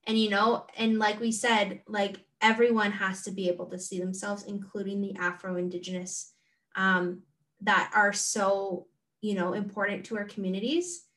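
A woman co-hosting a podcast, talking gently and haltingly with many fillers. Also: medium pitch, level tone. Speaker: podcast co-host. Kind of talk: casual conversation. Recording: distant from the mic.

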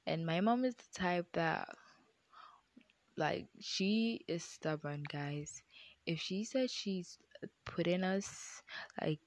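A young woman with a deep voice, telling viewers a personal story in a chatty way, often calling them guys and dropping into whispered asides. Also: a little mellow, no echo. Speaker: vlogger.

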